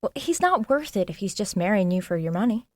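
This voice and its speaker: An actress playing a frank, nosy working-class maid in a 1920s period audio drama, with a folksy, plain-spoken manner. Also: female voice, mid-range voice, big pitch swings, fairly quick, dry recording, studio quality, natural voice. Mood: frank, probing. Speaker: actor.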